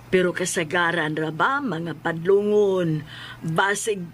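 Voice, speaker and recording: A woman's voice: lowish voice, slow, slight background noise. A woman with a Cebuano Filipino accent playing a bustling, opinionated homemaker in a comedy drama.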